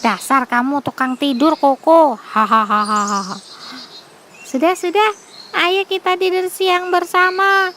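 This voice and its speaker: A friendly, naive little bunny from a children's fable, put on by a woman. The voice sits high and childlike, eager in manner, with clear background noise of birds and insects. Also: slow pace, fairly bright tone. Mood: teasing, cheerful.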